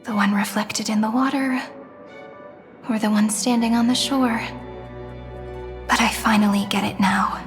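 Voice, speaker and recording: A woman voicing a gentle wistful young heroine. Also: a little high, fairly even intonation, measured pace, pauses often, music in the background, lightly acted. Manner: soft, earnest and reflective. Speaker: game character. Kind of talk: game cutscene voice acting. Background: soft game score.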